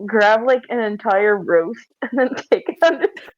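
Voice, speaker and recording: A lo-fi, close recording of a woman playing a tabletop game. Her voice is fairly high and swings around as she improvises her character's actions. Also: lively intonation, normal speed, no echo. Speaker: player in a tabletop roleplay game. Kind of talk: casual improvised character narration. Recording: dull, lo-fi close microphone.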